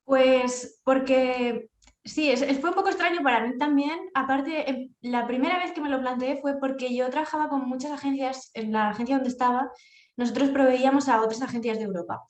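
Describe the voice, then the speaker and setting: Higher-voiced Spanish woman in an echoey room. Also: moderate pace. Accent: Spanish from Spain. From Castilla-La Mancha, Spain. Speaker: interview guest, freelance project manager. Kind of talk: conversational interview answers. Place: indoors at home, echoey room.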